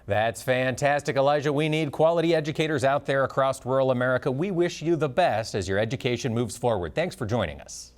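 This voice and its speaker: A man's voice: medium pitch, brisk, hardly any pauses. A man hosting a farm news program, introducing guests and asking questions in an upbeat presenter manner. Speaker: news show host. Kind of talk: broadcast interviewing.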